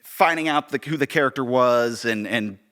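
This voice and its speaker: A man with an American accent and a bright, forward voice. He tells stories in a loose, conversational style, animated and happy to poke fun at himself. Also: mid-range voice, normal speed, clear, resonant tone. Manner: animated, self-deprecating, uses quoted dialogue. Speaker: podcast guest and theater director. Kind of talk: casual conversational storytelling.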